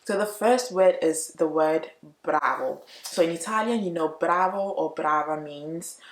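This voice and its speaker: A woman who speaks English natively, chatting casually to viewers as she explains language points, expressive and emphatic, repeating words for emphasis. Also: sits low, unhurried. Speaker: YouTube language vlogger. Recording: roomy, echoey room.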